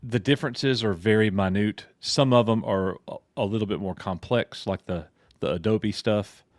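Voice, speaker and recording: A man from Alabama, co-hosting a relaxed livestream and chatting over a video call. His voice sits fairly low, and the sound is dry and close with no room around it. He gets excited easily, laughs along, and restarts his phrases as he talks. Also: normal speed, no echo. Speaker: co-host. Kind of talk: casual chat on a livestream.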